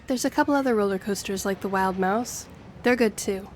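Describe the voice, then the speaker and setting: A woman's voice: medium pitch, fairly quick, lightly acted. Friendly young woman over background ambience acted by a woman. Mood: chatty, friendly. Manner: chatty, earnest, flustered hesitations when romantic. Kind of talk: intimate audio roleplay addressed to the listener. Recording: clear background ambience noise.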